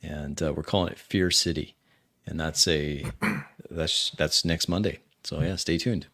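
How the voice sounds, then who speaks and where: A man's voice: extremely low pitch, measured pace, a bit bright, no echo, clean studio sound. A man who plays and runs tabletop games, talking casually and unscripted on a group stream.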